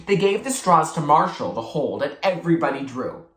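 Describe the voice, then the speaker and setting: Read-aloud narrator for a children's novel, highly varied intonation, roomy acoustic. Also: very animated, normal speed, fairly bright tone. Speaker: book reader. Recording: echoey room.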